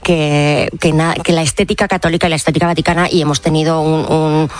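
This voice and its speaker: A woman's voice: low-pitched, level tone, brisk. Woman guest commentator, chatty, loose, mid-range voice. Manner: chatty, with many restarts. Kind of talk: live radio panel chat.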